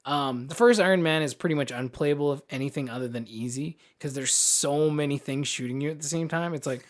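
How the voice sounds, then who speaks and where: A man chats as a podcast co-host in an informal, conversational way. His voice sits a little higher than average for a man, and he fills his sentences with "like". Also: a little fast. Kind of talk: casual chat about games and films.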